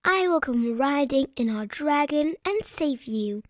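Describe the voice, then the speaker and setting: A woman's voice: high-pitched, expressive, measured pace, no echo, studio quality. A woman voicing a confident, bubbly little girl piglet in a children's storybook. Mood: reassuring, eager. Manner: bubbly, eager.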